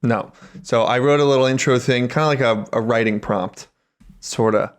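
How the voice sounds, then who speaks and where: Casual, mid-pitched man co-hosting. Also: moderate pace. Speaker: podcast co-host. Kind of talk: casual chat.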